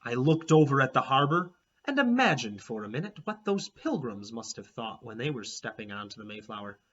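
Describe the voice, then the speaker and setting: Animated man reading a children's book. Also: mid-range voice, a little fast, slight background noise. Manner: expressive, animated storytelling. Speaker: teacher reading aloud. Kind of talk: children's book read-aloud. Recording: dull lo-fi recording.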